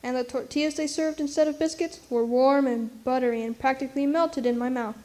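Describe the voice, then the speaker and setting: A woman's voice: high voice, steady tone, a little fast, warm tone, natural voice. A woman playing a plucky, streetwise orphan, telling the story in a folksy, wry way for a radio drama. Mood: fond, wry. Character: streetwise Brooklyn orphan child narrating with plucky frankness.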